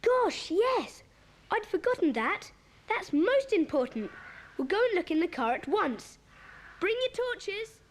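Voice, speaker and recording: A sensible schoolboy who takes charge of his gang. The voice is high and animated, with an earnest, bossy manner made for a children's audio story. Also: unhurried, full character voice. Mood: keen, commanding. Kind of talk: children's audio dramatisation acting.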